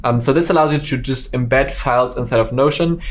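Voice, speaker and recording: A native German speaker talks through a screen-recorded software lesson as a course instructor. His voice sits at a middle pitch. He explains steps in a relaxed way, with frequent ums and uhs. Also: brisk.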